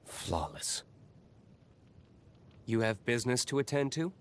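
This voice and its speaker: An actor playing a brooding, aloof young nobleman vigilante in a video game, with a terse, guarded, measured manner. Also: mid-range voice, sing-song, clear, resonant tone. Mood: guarded, reserved. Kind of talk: scripted video game character lines.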